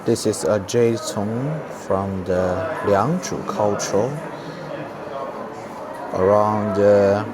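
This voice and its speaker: Man, Chinese accent, lowish voice, very slow halting delivery, non-native English, informal travel vlog guide. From China. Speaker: vlogger giving a museum tour. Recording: clear background noise, on-location ambience.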